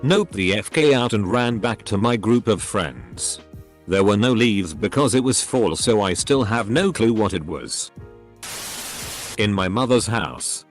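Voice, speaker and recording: A man reading short online stories aloud as scripted narration, one after another in an even storytelling delivery. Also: fairly low pitch, a little slow, faint music behind.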